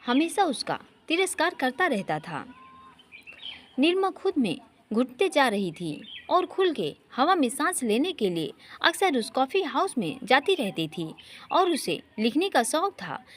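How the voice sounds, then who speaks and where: High-voiced woman narrating an emotional story. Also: big pitch swings, a little slow, slight background noise. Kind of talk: scripted narration of an emotional Hindi love story.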